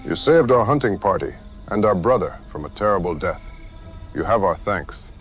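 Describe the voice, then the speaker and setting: Man playing a low-voiced, solemn war party leader. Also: lively intonation, normal speed, soft background music. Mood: grateful, solemn. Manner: formal, dignified. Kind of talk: audio adventure drama acting.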